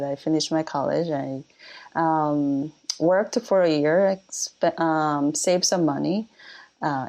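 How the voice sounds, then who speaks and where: A woman from Korea, a native Korean speaker, telling her story as a guest in a casual interview, with many pauses and fillers. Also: fairly low pitch, creaky, a little mellow. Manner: thoughtful, many pauses and fillers, light laughs. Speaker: guest lighting designer.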